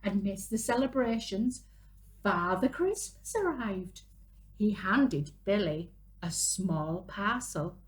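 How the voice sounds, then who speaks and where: A woman, reading a picture book aloud to young children in an animated, dramatic way. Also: medium pitch. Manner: animated, dramatic gasps and drawn-out exclamations. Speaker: children's storyteller, early years presenter. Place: small room at home, indoors.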